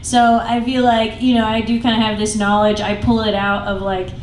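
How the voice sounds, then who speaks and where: A woman's voice: mid-range voice, level tone, moderate pace, slight background noise. A young woman from Pakistan, a comedian guest chatting on a live stage, self-deprecating and rambling, often laughing at herself. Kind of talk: casual live stage interview. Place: small live comedy venue. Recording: roomy live venue, distant mic, audible ambience.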